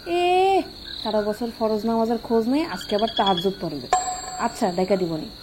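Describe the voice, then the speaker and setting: A woman voicing a sharp-tongued mother in a comic cartoon, scolding emphatically with a Bangladeshi accent. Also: fairly high pitch, lively intonation, normal speed, very noisy, lightly acted. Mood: sarcastic.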